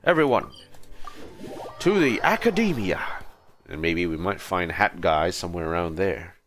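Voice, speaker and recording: A man with a low, animated voice chats casually through a game livestream. He drops in playful interjections and asides over game music. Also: moderate pace. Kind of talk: casual gaming commentary.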